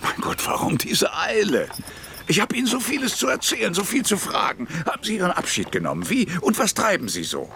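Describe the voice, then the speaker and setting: Old staff captain in radio play, super animated pitch, gushy and chummy, noisy background. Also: male voice, brisk, creaky, exaggerated voice. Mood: urgent, pleading. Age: older. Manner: effusive, exclamatory, familiar. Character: hearty, effusive old staff captain, eagerly affectionate. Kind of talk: German radio play acting.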